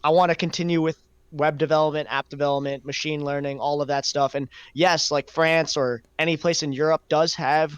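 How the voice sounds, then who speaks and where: Clear-voiced young man, reflective, close dry sound. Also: fairly high pitch, fairly even intonation, a little fast, no echo. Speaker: podcast host. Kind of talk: casual podcast conversation.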